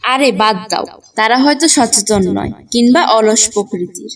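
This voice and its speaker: A woman voicing an earnest, sensible young schoolgirl in a cartoon, speaking in a measured, instructive way. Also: a little high, distant mic, slight background noise, close to an everyday voice. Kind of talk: cartoon dialogue.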